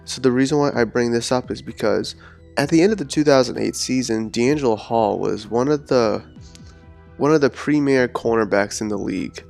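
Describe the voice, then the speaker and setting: A man's voice: medium pitch, moderate pace, gravelly, darkish tone, soft background music. A man hosting a solo sports podcast, talking in an earnest, rambling way while recovering from a cold. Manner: earnest, rambling with repeated words. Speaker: podcast host.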